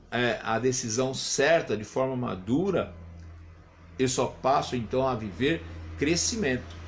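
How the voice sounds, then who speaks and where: A man in his fifties, a pastor giving a morning devotional sermon in an earnest, reflective way, lifting his voice on exhortations. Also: medium pitch. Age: 50s.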